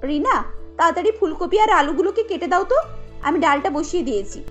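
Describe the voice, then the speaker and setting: A harried young housewife from a family cartoon, voiced by a woman, very high in pitch. She complains in an irritated, insistent way, firm and thrifty, over light music. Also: expressive, a little fast, a bit bright.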